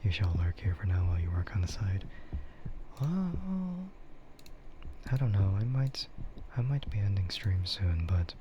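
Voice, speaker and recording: A man with a low, soft voice that often slips into a whisper, rambling slowly as he streams ASMR. His delivery is relaxed and hesitant. The recording is muffled, with clear noise behind him. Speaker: livestreamer.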